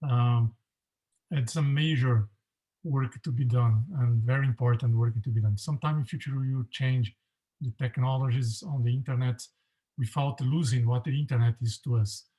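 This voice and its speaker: A male professor speaking in a panel discussion, measured and hesitant with many fillers. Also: mid-range voice, steady tone, dark tone, rough recording.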